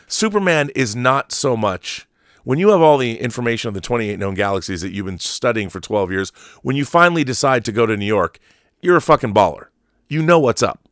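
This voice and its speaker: A man's voice: medium pitch, animated, brisk, a bit bright, no room sound. Emphatic man in his fifties, dry close sound. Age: 50s. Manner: opinionated, emphatic, profane, repeats himself for emphasis. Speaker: livestream host. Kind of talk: casual livestream commentary, reading viewer messages.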